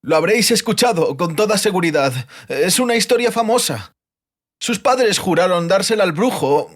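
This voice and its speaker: A man acts out a frightened captive poet from a fantasy audiobook. The voice sits high and swings widely, breathless and whimpering. He pleads and babbles denials in a frantic rush. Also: animated, moderate pace. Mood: tearful pleading. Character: terrified captive bard, pleading and sobbing.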